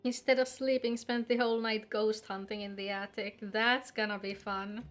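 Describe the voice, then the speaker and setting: A woman's voice: mid-range voice, level tone, normal speed, soft background music. A woman live-streaming a game, chatting casually and teasingly with viewers in sweary, informal talk.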